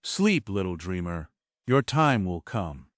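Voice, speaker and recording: A man's voice: deep voice, sing-song, slow pace, fairly bright tone, no room sound, clean studio sound, exaggerated voice. A man playing a benevolent but stern God in a stage table read, solemn and theatrical. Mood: gently commanding.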